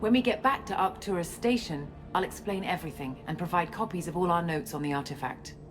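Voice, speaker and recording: A woman playing a guarded, self-assured scientist and space operative in a video game, explaining things in a measured way. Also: on the low side, close to an everyday voice. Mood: grave. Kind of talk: video game cutscene acting. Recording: music under the dialogue.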